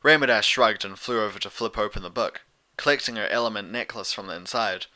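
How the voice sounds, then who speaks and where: A man's voice: lowish voice, a little fast, pushed and bright. A man reading scripted story narration as an audiobook narrator of fan fiction.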